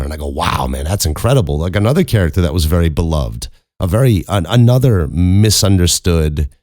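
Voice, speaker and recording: Young guy with a super low voice rambling thru a q and a, cussing, poking fun at himself, dead dry room. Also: a little mellow, dry recording. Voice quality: very low speaking voice. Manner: rambling, self-mocking, swears casually. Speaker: podcast host and voice actor. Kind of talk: casual Q and A chat.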